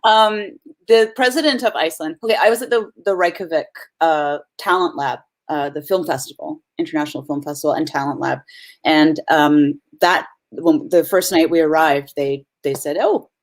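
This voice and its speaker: Actress guest, female, lower pitch, laughs often, loose rambling chat over a video call. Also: moderate pace. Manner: giggly, upbeat, rambling. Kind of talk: casual interview chat.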